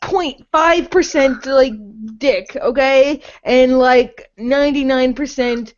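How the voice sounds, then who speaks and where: A woman's voice: high voice, slow. A woman co-hosting a podcast, bantering casually in a blunt, crude, sweary way. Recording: muffled voice-chat audio.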